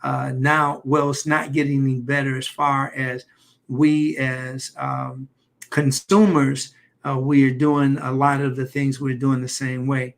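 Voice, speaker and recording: A man, a doctor, hosting a live health stream in a loose, halting way with many fillers and light jokes. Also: medium pitch, level tone, creaky. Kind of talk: casual livestream talk.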